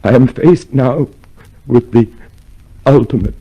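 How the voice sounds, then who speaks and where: An actor playing a tormented, broken prisoner in a vintage radio drama, halting and anguished as he repeats himself. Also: medium pitch, mellow, slightly rough. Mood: numb dread. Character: tormented, broken, frightened yet defiant male prisoner. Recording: faintly noisy old radio drama recording.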